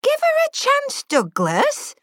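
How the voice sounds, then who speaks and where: A woman voicing a sharp-tongued elderly former headmistress who speaks bluntly and scolds. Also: extremely high pitch, huge pitch swings, takes it slowly, dry recording, studio quality, voice pushed up, exaggerated voice. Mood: admonishing.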